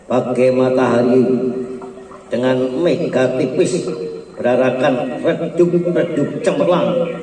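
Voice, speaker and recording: A man narrating a serialized story in a measured, poetic way. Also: mid-range voice. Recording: echoey far-off recording over loud music.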